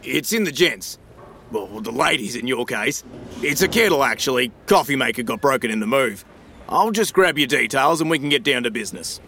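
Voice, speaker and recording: A man's voice: medium pitch, expressive, fairly quick, sharp tone, a little hiss or hum. An actor playing a matey, informal Australian businessman in an audio drama, with breezy slangy talk. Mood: casual, cheeky.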